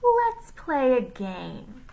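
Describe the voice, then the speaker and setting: Lady doing a nasty male teacher from a kids book, slow sneery taunts, sore throat french donkey voice. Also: high-pitched, wildly swooping pitch, vocal fry, warm tone, pitched above the performer's own voice, full character voice, rough recording. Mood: taunting, slow. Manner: taunting, menacing.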